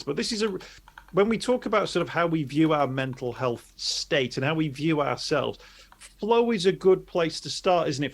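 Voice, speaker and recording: A man's voice: a little high, gravelly. A man hosting an interview, asking long questions that ramble with many restarts. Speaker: podcast host. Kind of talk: interview talk.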